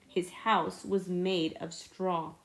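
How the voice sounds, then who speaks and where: A woman reading a children's story aloud in an expressive narration style with dramatic build-ups. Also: fairly low pitch, slow pace. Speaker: storybook reader.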